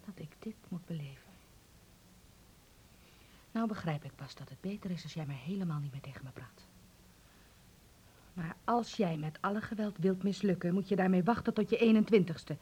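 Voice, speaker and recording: A woman's voice: lowish voice, normal speed, pauses often, warm tone, slight background noise, lightly acted. An actress playing a stern, controlling mother in a Dutch radio play, commanding and reproachful in manner. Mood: wounded, threatening. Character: stern, wounded, controlling mother of a teenage son.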